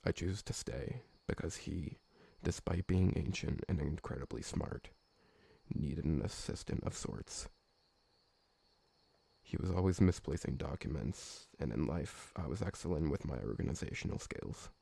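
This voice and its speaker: A man acting a polite, loyal vampire servant in an ASMR roleplay, speaking formally and deferentially with a gravelly, husky voice. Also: a bass voice, unhurried, dark tone, exaggerated voice. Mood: earnest, reminiscing. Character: polite, loyal old vampire servant, fussy former bookkeeper, male.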